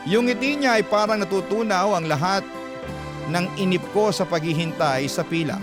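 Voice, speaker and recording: A man's voice: high-pitched, moderate pace. A man with a Filipino accent hosting a radio show, narrating a listener's letter as a dramatic story. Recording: music bed under the voice. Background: background music.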